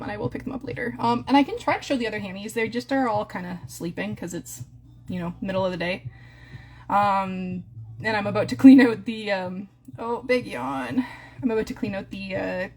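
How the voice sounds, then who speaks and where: A young woman with a Canadian accent chatting in a casual live stream, full of fillers and coos. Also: medium pitch. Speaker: hamster breeder streaming live.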